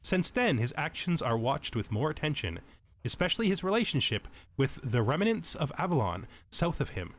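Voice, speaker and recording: A man with a voice pitched a little higher than average hosts a let's play. He moves between explanatory commentary and measured readings of lore, told in a storyteller's fashion. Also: sing-song. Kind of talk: tutorial game commentary mixed with reading lore text aloud.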